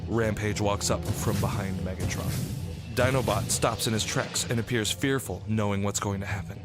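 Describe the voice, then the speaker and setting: A man's voice: fairly low pitch, steady tone, moderate pace. A man reading scripted narration for a radio drama. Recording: loud music under the voice. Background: loud music.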